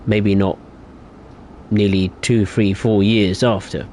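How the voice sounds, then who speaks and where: Rambling young man, low voice. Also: little pitch movement, takes it slowly, darkish tone, slight background noise. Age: young adult. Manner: rambling, hesitant, opinionated. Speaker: streamer. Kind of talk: casual live-stream chat.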